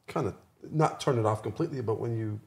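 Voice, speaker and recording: A man with a fairly low voice speaks as a guest in a casual podcast discussion. His delivery is halting, and he reworks his phrases as he explains. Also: darkish tone.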